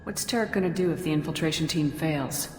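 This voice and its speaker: An actress playing a brisk, composed squad leader in a video game, asking questions in a direct, businesslike way. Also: female voice, deep voice, a little mellow, natural voice. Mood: inquiring. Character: brisk, composed woman leading an armed squad. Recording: roomy hall reverb, noisy ambience.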